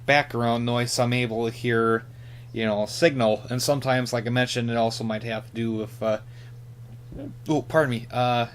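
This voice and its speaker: A man giving a casual hands-on equipment demonstration, halting and tentative as he thinks aloud. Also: medium pitch, steady tone. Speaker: radio hobbyist presenter. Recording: faint hum and hiss behind.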